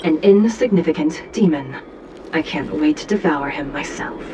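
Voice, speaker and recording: A woman playing a stern, composed judge in a video game, speaking in a formal, solemn, measured way. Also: low voice, expressive, heavy background noise. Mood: cold, severe.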